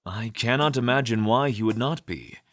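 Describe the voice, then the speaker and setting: A man playing a formal, reasonable adventurer with measured wording in a fantasy audio drama. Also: medium pitch, a bit bright, faint music behind, close to an everyday voice. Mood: thoughtful, assured.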